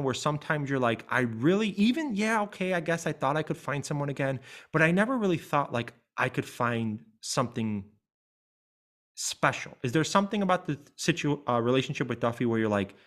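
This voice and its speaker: A man's voice: on the high side, animated, a little fast, fairly bright tone. A middle-aged man hosting a coaching podcast, talking in an earnest, reflective way and thinking aloud. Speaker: life coach and podcast host. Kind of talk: reflective interview conversation.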